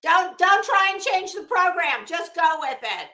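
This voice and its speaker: A woman's voice: extremely high pitch, very animated, a little slow, bright, forceful tone. A middle-aged woman chatting casually as a livestream host, greeting viewers with teasing, salty banter. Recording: echoey room.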